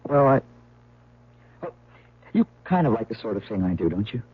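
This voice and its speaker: An actor playing an earnest, nervous young painter in love in a 1970s radio drama, with a hesitant delivery. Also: male voice, lowish voice, big pitch swings, normal speed, slightly rough. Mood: awkward. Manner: hesitant, stammering. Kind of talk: 1970s radio drama acting with first-person narration. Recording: tinny, noisy old radio broadcast.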